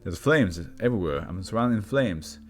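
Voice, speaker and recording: A man's voice: on the low side, lively intonation, moderate pace, lightly acted. A man voicing a volatile condemned prisoner who is coming unravelled, speaking with agitated intensity in a story reading. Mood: panicked.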